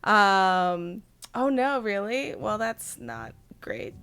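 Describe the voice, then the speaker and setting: A woman hosting a casual livestream chat, her voice hoarse after losing it, giggling and chatting to her viewers. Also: medium pitch, very animated, very slow, fairly bright tone. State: hoarse, partly lost voice. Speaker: streamer host.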